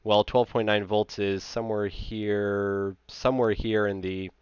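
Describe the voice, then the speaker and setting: Male presenter, 30s. Low voice, close dry sound, conversational technical explainer. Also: flat delivery, a little slow, a little mellow, smooth, no room sound, dull audio. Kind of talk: informal technical slide presentation.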